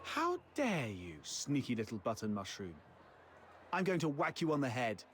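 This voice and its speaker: An actor playing a brash, loyal young fox hunter in a video game, with cocky, teasing bluster. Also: male voice, medium pitch, wildly swooping pitch, moderate pace, a little hiss or hum. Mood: teasing indignant.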